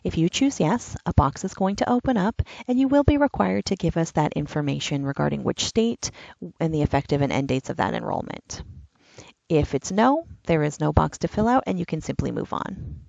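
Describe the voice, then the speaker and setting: A woman's voice: deep voice, animated, normal speed, gravelly, a little mellow, no echo, muffled recording. A woman giving a patient, step-by-step walkthrough of an online application form. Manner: step-by-step instructional, plain and patient. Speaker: enrollment trainer. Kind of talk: screen-recorded software walkthrough.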